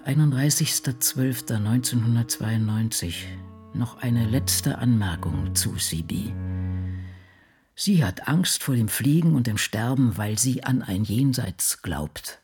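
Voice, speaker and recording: A woman's voice: extremely low pitch, lively intonation, slow pace, full character voice. An older woman playing an ageing, world-weary writer confiding dryly and introspectively in her diary in a radio play. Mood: sardonic. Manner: brooding, dry, introspective. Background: soft music.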